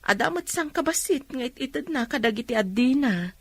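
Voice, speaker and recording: A woman with an Ilocano accent playing a weary, hard-up mother in a radio drama, her voice husky. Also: high voice, lively intonation, moderate pace, no echo, exaggerated voice. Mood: weary, reluctant. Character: weary, hard-up mother refusing a pushy borrower.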